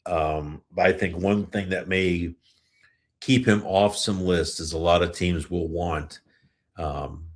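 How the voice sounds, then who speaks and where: Man, low-pitched voice, middle-aged, podcast host, casual hockey talk, conversational. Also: fairly even intonation, slow.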